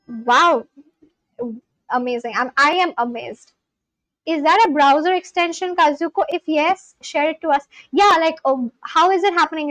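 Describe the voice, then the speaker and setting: A young woman whose first language is Bengali, chatting casually on a live stream, reading out viewers' comments and getting excited. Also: high-pitched, dry recording, low-quality recording. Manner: excitable, gasps and exclaims. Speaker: live streamer learning Spanish.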